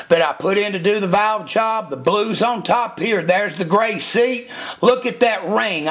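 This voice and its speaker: A man's voice: sits high, a bit bright. A man losing his voice, walking viewers through a cylinder head job in a folksy, explanatory workshop demonstration. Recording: echoey workshop.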